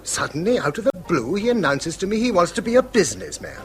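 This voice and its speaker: A man's voice: high voice, very animated, quick pace, faint music behind. An actor playing a proud, disapproving middle-aged English schoolmaster father, speaking formally in a period radio drama. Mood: angry, indignant. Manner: formal, clipped, reproachful.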